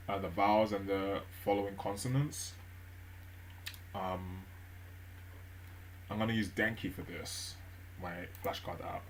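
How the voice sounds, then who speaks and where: Low-voiced man thinking aloud while studying. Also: a little hiss or hum. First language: English. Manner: thinks aloud with frequent fillers and restarts, soft gentle pace. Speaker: language learner live-streaming a study session. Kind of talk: relaxed study stream narration.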